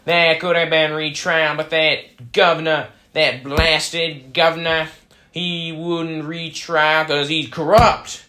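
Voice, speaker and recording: A man's voice: on the high side, little pitch movement, takes it slowly, sharp tone. A man playing an indignant, hot-tempered anarchist being interviewed in an amateur mock 1920s radio show, ranting emphatically. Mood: angry ranting.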